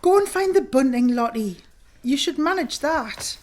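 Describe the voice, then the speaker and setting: A woman playing a stern, haughty middle-aged matron in an audio drama, sharp, scornful and commanding. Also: high voice, lively intonation, normal speed. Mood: brisk, scolding. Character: stern, haughty Edwardian matron of a care home.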